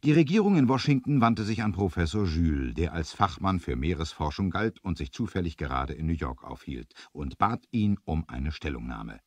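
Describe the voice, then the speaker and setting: A man's voice: deep voice, expressive, normal speed, hardly any pauses, darkish tone, breathy edge, no echo. A man narrating an adventure audio drama in a theatrical, emphatic storytelling manner, as on a 1970s studio record.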